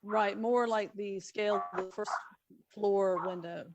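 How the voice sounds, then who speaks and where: A woman's voice: medium pitch, fairly even intonation, a little slow, music in the background. A woman chairing a public meeting, talking informally and tentatively as she looks for agreement. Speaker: commission chair. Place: online public meeting call. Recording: faintly noisy online meeting audio.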